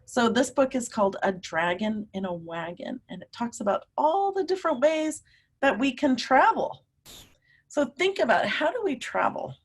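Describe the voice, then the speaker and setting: A woman teacher reading a picture book aloud to young children, animated and emphatic, often putting questions to her listeners. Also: on the high side, breathy edge. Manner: animated, emphatic, drawn-out words, coaxing young children along.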